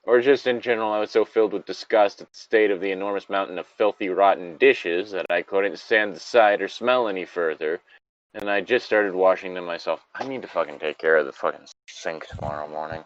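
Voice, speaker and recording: A man's voice: low voice, brisk, dull audio. A man chatting casually and reading aloud, swearing freely and rambling off on digressions. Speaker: podcast co-host reading a story aloud with commentary. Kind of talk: casual chat with story reading.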